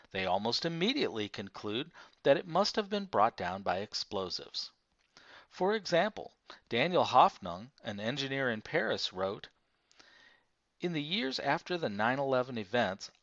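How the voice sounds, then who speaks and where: A man's voice: medium pitch, fairly bright tone, dry recording, highs cut off. A man narrating nonfiction as an audiobook reader, reading from a prepared text.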